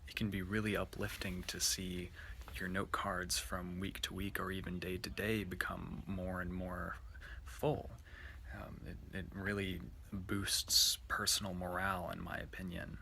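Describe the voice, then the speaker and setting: A man giving an informal, earnest personal reflection, speaking in a halting way with a low, creaky voice. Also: little pitch movement, sharp tone, slight background noise. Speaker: speaker at an online worship service.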